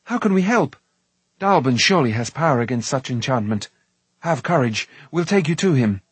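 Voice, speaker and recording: A man's voice: medium pitch, animated, brisk, slightly rough, no room sound. A man voicing an earnest, astonished young hero for an audiobook, exclaiming breathlessly. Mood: aghast, earnest. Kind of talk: audiobook character voice.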